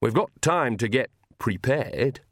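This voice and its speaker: A man's voice: medium pitch, animated, takes it slowly, fairly bright tone, dry recording, studio quality, exaggerated voice. A man voicing a shrewd, blunt, earthy old country witch in an audiobook, with folksy, colloquial talk. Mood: blunt, resolute.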